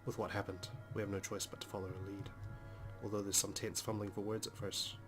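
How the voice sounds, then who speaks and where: A man reads a game's first-person story aloud. His voice is fairly low and stays within a narrow range, with prominent music behind it. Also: little pitch movement, brisk. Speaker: let's play host reading the game's text. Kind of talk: dramatic reading of first-person story narration. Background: game music.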